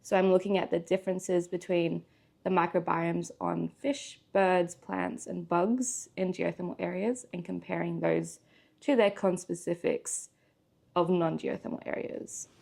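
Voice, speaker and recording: A woman's voice: on the low side, fairly even intonation, a little slow, vocal fry, a little mellow. A woman from Australia, chatting casually as a guest, with frequent ums and laughs. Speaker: guest, PhD student. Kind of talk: casual interview chat.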